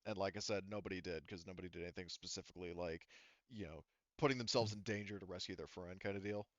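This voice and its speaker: A man's voice: low-pitched, fast, fairly bright tone, dry recording, muffled recording. A man talking casually and informally, with some swearing, as game master of a tabletop roleplaying game.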